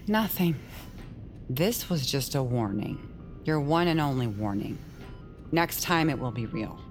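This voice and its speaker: Audio drama villain: female doctor, unhurried, measured, patronizing, coldly threatening, over a strong music bed. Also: deep voice, animated. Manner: measured, clinical, condescending. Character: calm, menacing woman doctor running cruel brain procedures. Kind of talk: audio fiction acting.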